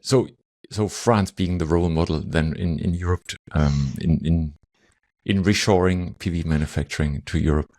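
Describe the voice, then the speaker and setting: A man's voice: sits low, normal speed, darkish tone. A man hosting an interview podcast, asking long rambling questions and checking in with "right?". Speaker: podcast host.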